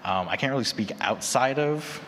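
A man's voice: medium pitch, expressive, normal speed, fairly bright tone. A man speaking conversationally as moderator and podcaster at a live panel, often checking in with "you know" and "right?". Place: large conference room with audience. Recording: echoey hall with background noise.

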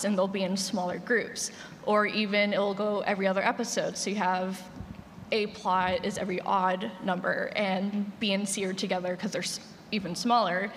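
A woman's voice: mid-range voice, a bit bright. Woman explaining on a panel, roomy hall. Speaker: panelist, podcast creator. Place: large room at a convention panel. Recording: echoey hall, clear background noise.

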